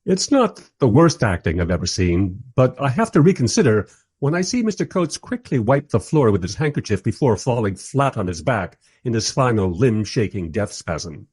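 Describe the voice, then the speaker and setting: A man's voice: medium pitch, big pitch swings, moderate pace, hardly any pauses, a little mellow, breathy edge. A man playing a wry, bemused first-person narrator in a radio play, telling the story in a dry, literary way. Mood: dryly amused.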